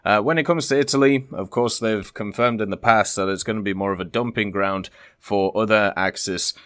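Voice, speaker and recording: A man's voice: on the low side, moderate pace, fairly bright tone, no echo, clean studio sound. A man hosting a gaming channel, giving casual, conversational and opinionated commentary as he reads and discusses questions and answers. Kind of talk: casual video commentary, reading and discussing developer Q&A.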